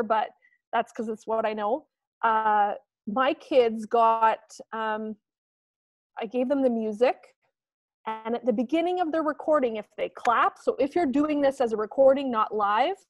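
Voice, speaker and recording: A woman's voice: fairly high pitch, a little slow, no room sound. A woman, a teacher explaining something informally to peers on a video call. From Canada. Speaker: middle school teacher.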